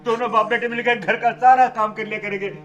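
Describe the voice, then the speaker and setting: A man as high-pitched wheedling greedy father-in-law. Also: fairly even intonation, fast, a bit bright, lo-fi sound. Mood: pleading. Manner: wheedling, apologetic. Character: greedy, cowardly older father-in-law, placating. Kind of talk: melodramatic short-film acting.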